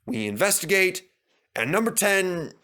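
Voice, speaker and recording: A man's voice: sits high, very animated, measured pace, pushed and bright, pitched above the performer's own voice, exaggerated voice. A man voicing a stern, dignified railway controller who speaks in a pompous, formal, clipped way. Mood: formal apology.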